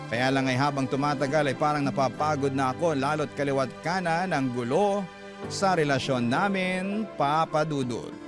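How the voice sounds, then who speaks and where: A man's voice: a little high, normal speed, natural voice. A man narrating as a reflective young letter writer. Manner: confessional, reflective. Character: young man recounting his love life. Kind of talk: radio drama letter narration. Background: background music.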